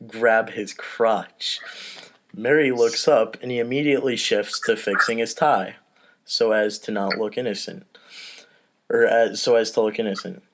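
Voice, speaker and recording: A man's voice: fairly low pitch, fairly bright tone, a little hiss or hum. A man hosting and narrating a comedy table read in a casual, self-deprecating way with informal asides.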